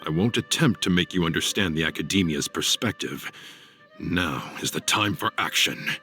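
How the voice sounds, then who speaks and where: Very deep, solemn scholar master. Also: male voice, animated, bright, forceful tone, soft background music, full character voice. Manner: formal, measured, solemn. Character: dignified, grave older scholar master, earnest and fatherly. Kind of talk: anime video game voice acting.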